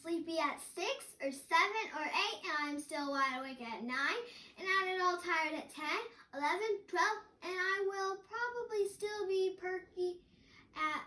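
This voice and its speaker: Storybook little girl, very high, bright, stubborn, funny, insistent excuse-maker. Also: measured pace, full character voice. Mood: stubborn, perky. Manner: insistent, matter-of-fact excuse-making. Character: small, funny, stubborn little girl, chattering bedtime staller. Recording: echoey room, distant microphone.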